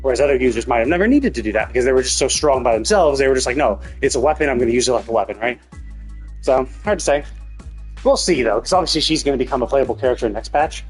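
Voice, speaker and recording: A man talks as a game streamer with music behind him. He thinks aloud in a loose, rambling way and swears casually, at an ordinary middle pitch. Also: expressive, fast. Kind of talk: casual live stream commentary.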